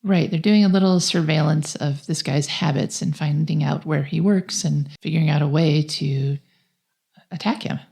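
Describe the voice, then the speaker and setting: A woman talks through a film as a podcast co-host. Her voice sits very low for a woman, with a soft, mellow tone and plenty of vocal fry. The delivery is conversational. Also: moderate pace. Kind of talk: conversational film plot recap.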